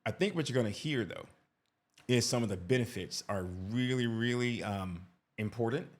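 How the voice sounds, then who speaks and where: A man's voice: medium pitch, expressive, moderate pace. A man giving casual reaction commentary as an expat vlogger, talkative, often tagging "right?" and restarting his sentences.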